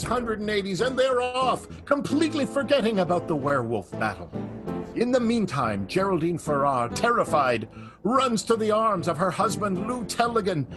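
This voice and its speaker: A man narrating an improvised radio serial in a theatrical, urgent style. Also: sits high. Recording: loud music behind the voice.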